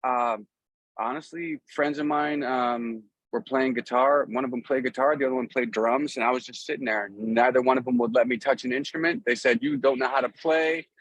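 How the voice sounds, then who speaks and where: A man's voice: mid-range voice, fairly even intonation, normal speed, a bit bright, no room sound, poor audio. Easygoing middle-aged man, rambling storyteller. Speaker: guest, musician. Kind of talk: casual interview chat. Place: outdoors.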